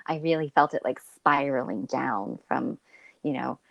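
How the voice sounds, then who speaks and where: A woman co-hosting a casual spiritual chat, speaking softly and unhurriedly, prone to giggles. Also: very deep voice, a little slow, vocal fry, no room sound, dull audio. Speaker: co-host.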